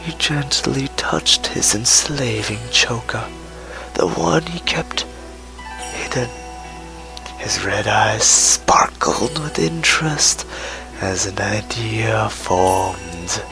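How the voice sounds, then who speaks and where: A story narrator reads dramatic scripted prose at a slow pace. The pitch moves widely and sometimes falls toward a whisper. Music plays clearly behind the voice. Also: animated, rough recording.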